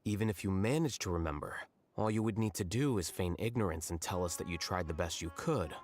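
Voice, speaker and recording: An actor playing a coolly logical male scholar in a video game, speaking in a measured, detached way. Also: low voice, close to an everyday voice. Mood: calm.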